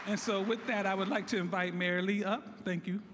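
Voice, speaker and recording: A hoarse man from San Francisco, a site director, giving an earnest ceremonial speech that thanks and introduces guests. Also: sits high, fairly even intonation, fairly quick, noisy background. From San Francisco, United States. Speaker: site director of a youth college program. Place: large hall at a groundbreaking event. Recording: echoey hall with crowd murmur.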